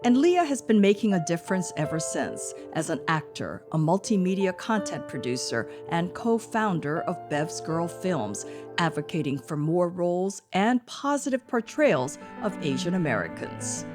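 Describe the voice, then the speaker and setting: A middle-aged woman correspondent narrating a scripted news feature with measured delivery. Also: lowish voice, very animated, over music. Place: living room set.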